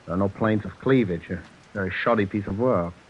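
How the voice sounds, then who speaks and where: Casting: male actor as low-voiced, pompous, suspicious gem expert; clipped and imperious, vintage radio drama, muffled recording. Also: brisk, a little hiss or hum, lightly acted. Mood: indignant. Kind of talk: old-time radio suspense acting.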